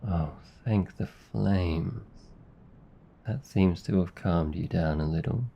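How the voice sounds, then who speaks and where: Deep gravelly Australian demon, acted by a man. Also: slow, heavily acted. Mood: soothing, tender. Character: male sleep paralysis demon, menacing yet awkwardly tender. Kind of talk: intimate ASMR roleplay acting. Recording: slightly dull, long reverb.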